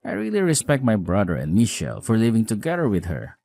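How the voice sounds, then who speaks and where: A man playing a weary, apologetic newlywed husband in a scripted audio drama, earnest, expressive, caring and frank. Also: on the low side, quick pace, gravelly, full character voice. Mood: reassuring.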